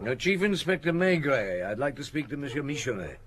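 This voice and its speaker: A man's voice: mid-range voice, big pitch swings, brisk, fairly bright tone, a little hiss or hum. An actor playing a brusque, dogged police chief inspector in an audio drama, curt and probing in his questions. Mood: brisk, questioning.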